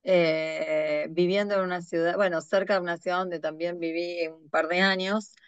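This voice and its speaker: Host: middle-aged Argentine woman, smooth lowish voice, hesitant and thoughtful, dull dry recording. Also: steady tone, normal speed, no room sound. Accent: Argentine Spanish. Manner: thoughtful, hesitant phrasing with many fillers. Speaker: podcast host. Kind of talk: interview questions.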